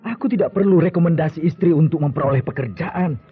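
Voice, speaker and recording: An actor playing a hot-tempered, bitter husband with wounded pride in an Indonesian radio drama. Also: male voice, on the high side, fast talker, slightly rough. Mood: humiliated, angry. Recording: tinny old radio drama, music behind.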